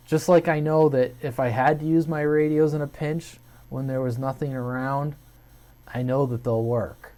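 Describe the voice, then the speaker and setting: A man's voice: medium pitch, dark tone. An American man hosting a hobby video channel, talking casually and unscripted to viewers in a hesitant, rambling way, thinking aloud.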